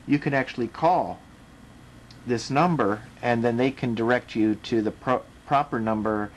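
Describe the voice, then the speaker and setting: An American man giving a casual, unscripted demonstration, explaining step by step in a halting way. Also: medium pitch, low-quality recording. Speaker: video presenter demonstrating a radio. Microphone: camera microphone. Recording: faint background noise, home camera recording.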